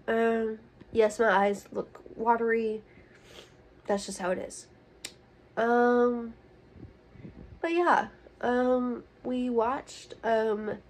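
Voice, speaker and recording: A young woman in college chatting casually to her phone camera in a relaxed vlog, upbeat and full of fillers. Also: fairly high pitch, poor audio. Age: young adult. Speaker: college student vlogger. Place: dorm room and outdoors. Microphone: phone held at arm's length.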